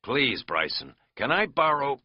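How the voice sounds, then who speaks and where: A man voicing a boisterous, jokey middle-aged hiking companion in a loud party voice. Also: mid-range voice, wildly swooping pitch, measured pace, no room sound, muffled recording, voice pushed up. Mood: mock solemn.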